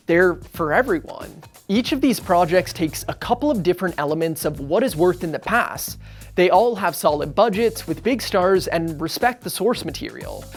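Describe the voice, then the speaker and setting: A video essay host reading scripted narration in an explanatory way. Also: mid-range voice.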